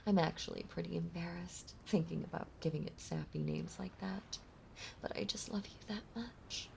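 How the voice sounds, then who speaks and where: A woman playing a possessive, lovesick mermaid monster girl in an intimate audio roleplay, breathy and often whispering, with a doting, clingy delivery. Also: fairly low pitch, steady tone, normal speed, darkish tone, slight background noise. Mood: lovesick, giddy. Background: ocean ambience.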